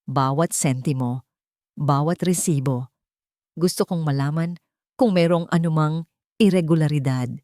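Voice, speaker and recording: A woman's voice: low voice, unhurried, pauses often, dry recording, clean studio sound. A woman voicing a cold, controlled female CEO and betrayed wife in a story. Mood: cold, commanding. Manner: calm, authoritative, controlled.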